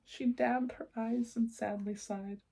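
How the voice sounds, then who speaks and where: Slightly husky woman reading a children's storybook. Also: fairly high pitch, steady tone, takes it slowly. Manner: gentle, encouraging, addresses the child listener directly. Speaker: children's read-aloud presenter. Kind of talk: expressive storybook reading with asides to young listeners.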